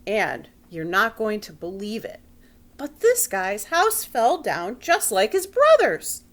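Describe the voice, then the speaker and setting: Lady doing a storybook wolf making excuses, real swoopy and unhurried, chatty and sly, bit of noise behind. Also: mid-range voice, very animated. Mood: self-excusing. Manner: folksy, conversational, exaggerated self-justifying. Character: defensive, sly wolf telling his side, chatty storybook narrator. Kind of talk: storybook read-aloud in character.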